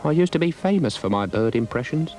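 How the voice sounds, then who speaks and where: A man's voice: a little high, animated, fairly quick, darkish tone, faint music behind, natural voice. A man voicing a wistful, forgetful male former impressionist in a children's story, rambling with faded pride. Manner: wistful, rambling, proud of past.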